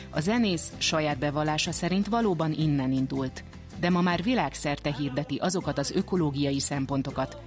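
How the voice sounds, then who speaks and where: A woman presenting a radio programme in scripted narration. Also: low-pitched. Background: music behind the voice.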